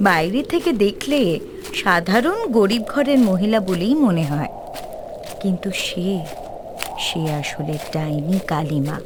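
A woman's voice: lowish voice, animated, unhurried, background noise. A woman narrating a scripted folk tale for a cartoon as its storyteller. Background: music.

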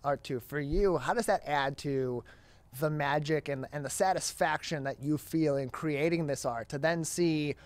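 A man's voice: on the high side, normal speed, no room sound. Young American male host, dry close sound. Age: young adult. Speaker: talk show host. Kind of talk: interviewing and event promotion. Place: indoor studio. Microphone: broadcast microphone on a boom arm, close.

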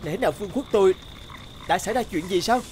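A man as a high-voiced, earnest young prince. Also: quick pace, darkish tone, a little hiss or hum, natural voice. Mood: polite, concerned. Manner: earnest, polite, theatrical. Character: earnest, brave young exiled prince, kind-hearted. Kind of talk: cartoon voice acting. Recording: music under the voice.

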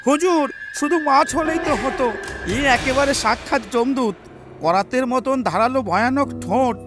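Very high voice, frightened cartoon villager, imploring, exaggerated, music behind. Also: male voice, normal speed. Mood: alarmed. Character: pleading, frightened villager petitioning his king. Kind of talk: Bengali fairy-tale cartoon voice acting.

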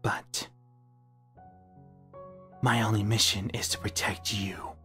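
A man's voice: on the low side, flat delivery, slow, bright, forceful tone, soft background music. Man voicing a suave, devoted hedgehog hero. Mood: tender, solemn. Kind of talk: cartoon comic dub acting.

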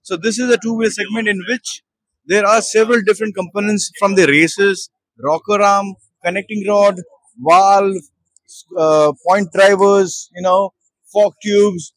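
Indian male exec, 30s, husky higher voice, hesitant product walkthrough at a busy expo stand. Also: normal speed, dry recording, rough recording. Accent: Indian English. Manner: hesitant, with many fillers and restarts. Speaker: managing director at a trade show. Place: busy exhibition hall stall.

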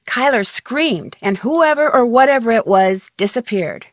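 A young female sleuth from a mystery video game, curious and polite. Her voice sits on the high side and moves with animated intonation. The sound is dry and close. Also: a little slow, no room sound. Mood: curious, probing. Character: inquisitive, polite young female amateur detective.

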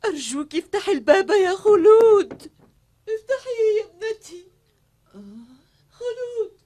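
A woman's voice: very high voice, lively intonation, a little slow, warm tone. A woman playing an anxious, motherly housemaid in an Arabic cartoon dub, pleading and tender.

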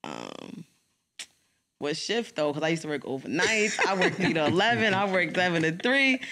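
Young NY woman, rapper guest: deep, strong voice, blunt slangy delivery, studio boom mic. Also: moderate pace, background noise. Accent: New York. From New York City. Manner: blunt, animated, street slang. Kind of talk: casual interview chat. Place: podcast studio lounge. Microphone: studio mic on boom arm, headphones.

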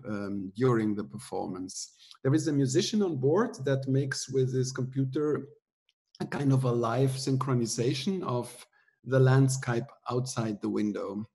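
A man in his forties, a theatre director, giving a relaxed talk over a video call from a room at home, explaining with many ums and uhs. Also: medium pitch. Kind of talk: casual online talk. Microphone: laptop webcam video call.

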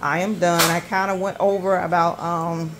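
Woman host, middle-aged, casual budget vlogger; quick, chatty, filler-heavy delivery into a phone at her kitchen counter. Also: lowish voice, little pitch movement, slight background noise. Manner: chatty, many ums, talks fast. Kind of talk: casual grocery haul vlog. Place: indoors at a home kitchen counter. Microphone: handheld phone filming items.

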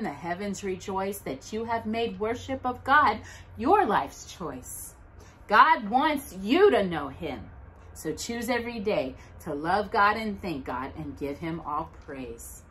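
Animated, slow-paced woman reading a story aloud. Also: mid-range voice, slight background noise. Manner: animated, deliberate, dramatic storytelling with emphatic pauses. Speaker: host of a children's storytime. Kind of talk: expressive read-aloud of Bible verses and a children's Christmas book. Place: living room at home, indoors by a brick fireplace.

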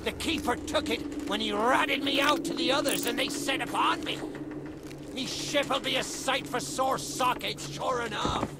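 A gravelly, raspy old undead pirate, a talking skull who drawls out his words slow and scheming. His voice sits high for a man. Loud game music plays behind him. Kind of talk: video game character dialogue.

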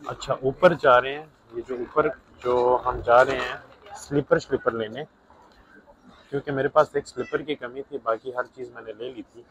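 A man's voice: medium pitch, fairly even intonation, normal speed, a bit bright, lo-fi sound. A young man, chatting casually to viewers as he films himself shopping in a big indoor discount store on a handheld phone. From Pakistan. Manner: chatty, mixes in English words, talks to viewers as brothers. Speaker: vlogger. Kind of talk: casual shopping vlog narration. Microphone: phone held by the filming hand.

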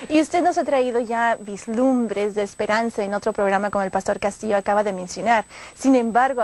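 Young female co-host, somewhat high voice, friendly and hesitant in her questions, dry studio. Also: darkish tone, dry recording. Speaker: TV show co-host. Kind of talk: studio interview. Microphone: lapel.

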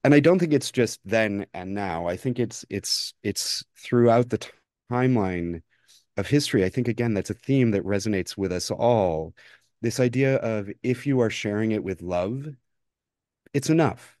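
A man's voice: fairly low pitch, lively intonation, moderate pace, darkish tone, dry recording, studio quality. A man speaking as a podcast guest, reflective and earnest, repeating words as he thinks. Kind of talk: reflective personal interview talk.

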